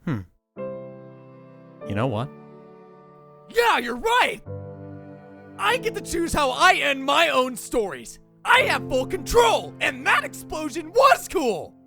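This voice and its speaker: Scrappy anime teen boy voiced by a man, hugely animated, unhurried, brash and openly emotional. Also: extremely high pitch, gravelly, faint music behind, exaggerated voice. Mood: puzzled, then exuberant. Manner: hesitant, sighing, swinging into bursts of enthusiasm. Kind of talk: animated anime fan-dub acting.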